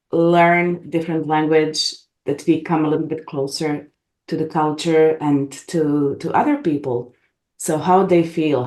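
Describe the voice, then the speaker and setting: A middle-aged woman from Bosnia and Herzegovina, a gentle, reflective guest speaking English as an over a video call. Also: low voice. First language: Bosnian and Croatian. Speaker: regression facilitator, guest. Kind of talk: casual interview chat. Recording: echoey room.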